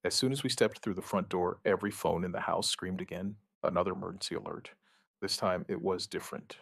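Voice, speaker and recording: An actor playing a shaken outbreak survivor, giving his account in halting, somber, dramatic narration with short deliberate phrases. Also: low voice, fairly even intonation, gravelly, a bit bright, no echo, clean studio sound, full character voice. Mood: quietly determined. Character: shaken male outbreak survivor recounting events, dryly stubborn. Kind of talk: dramatic first-person scripted narration, witness account.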